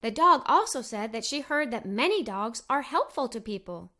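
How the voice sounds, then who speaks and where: A woman narrates a children's storybook in the first person as a young girl. Her voice is high and bright, and it swings widely in pitch. She sounds expressive and full of wonder. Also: normal speed.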